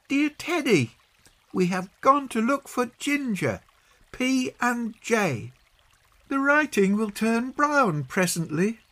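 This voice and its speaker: A man plays a young storybook girl in a very high, swooping voice. She is bossy and resourceful, speaking slowly and surely as she gives instructions and takes the lead. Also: sing-song, fairly bright tone, heavily acted. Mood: matter-of-fact. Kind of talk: children's storybook reading.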